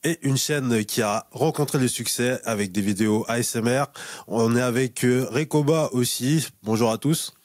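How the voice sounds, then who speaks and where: A man's voice: mid-range voice, moderate pace, no room sound, studio quality. A man hosting a podcast and interviewing in a casual, somewhat hesitant conversational way.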